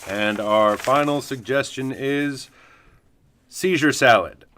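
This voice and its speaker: A man playing an earnest, opinionated news anchor in a comic audio drama, presenting in a polished deadpan. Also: mid-range voice, slow pace, a little hiss or hum. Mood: deadpan, judging.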